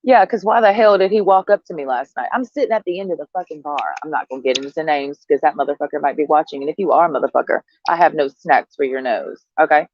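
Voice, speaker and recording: A woman in her thirties talks straight to camera, brash, profane and sarcastic, laughing between her lines. The sound is dry and close, a little dull in the highs. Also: quick pace, few pauses, dry recording. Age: 30s. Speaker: vlogger. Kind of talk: casual monologue to camera.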